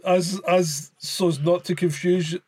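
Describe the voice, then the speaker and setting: A man's voice: high voice, steady tone, measured pace, a little mellow, husky, dry recording. A man co-hosting a podcast, chatting casually, telling crude jokes, swearing and laughing a lot. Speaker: podcast co-host.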